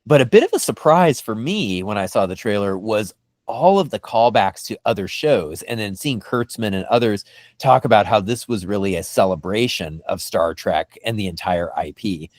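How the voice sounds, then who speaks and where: A man hosting a fan discussion podcast, talking in an animated, emphatic way. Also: fairly low pitch, normal speed, no echo, clean studio sound. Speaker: podcast host.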